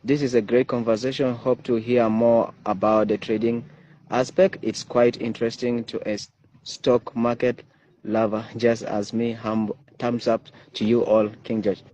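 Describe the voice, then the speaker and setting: A man hosting an online interview show, reading viewer comments aloud and pausing often on fillers. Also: mid-range voice, level tone, dark tone, low-quality recording. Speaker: host.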